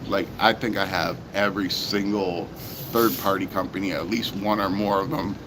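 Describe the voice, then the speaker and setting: A very deep-voiced man talks in a loose, rambling way, circling back to repeat himself with plenty of ums and uhs. His voice is hoarse and sore. Clear background noise sits under the recording. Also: fairly even intonation, normal speed. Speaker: toy reviewer. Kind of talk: casual vlog chat.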